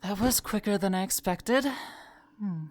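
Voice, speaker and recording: A woman's voice: mid-range voice, a little slow, close to an everyday voice. A woman playing a cold, clinical bandit poisoner in an audio drama, detached and confident in manner. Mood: cold, assured.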